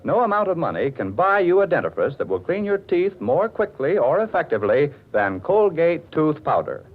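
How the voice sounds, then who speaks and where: A man's voice: on the high side, very animated, moderate pace. A man with an American accent reading 1940s radio commercials in a polished, emphatic salesman's delivery. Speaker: radio advertising announcer. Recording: tinny 1940s radio, faint music.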